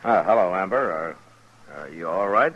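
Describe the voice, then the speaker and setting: A man playing a steady, easygoing, plain-spoken frontier marshal with a laconic drawl in a 1950s radio western. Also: low voice, moderate pace. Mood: wary, concerned. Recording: dull vintage 1950s radio recording.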